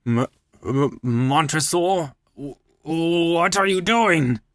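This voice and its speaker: A man performs a trusting, tipsy gentleman from a gothic storybook, doomed and uneasy. The voice sits raised in pitch, with faltering, broken phrases, in a dry, close sound. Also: drawn-out words, no room sound. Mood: alarmed. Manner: stammering, hesitant, broken phrases. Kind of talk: dramatic story reading.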